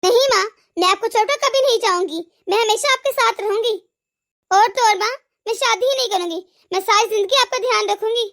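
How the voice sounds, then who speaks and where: Cartoon role: a clingy, resentful young daughter with a piping, high voice, voiced by a woman. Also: brisk, fairly bright tone. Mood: coaxing. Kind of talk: animated family drama dialogue.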